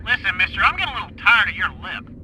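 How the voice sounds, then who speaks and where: An actor playing a self-important, touchy astronaut commander in a comic video game, earnest and huffy. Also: male voice, sits high, lively intonation, quick pace, pushed and bright, a little hiss or hum, heavily acted, low-quality recording. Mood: huffy, offended.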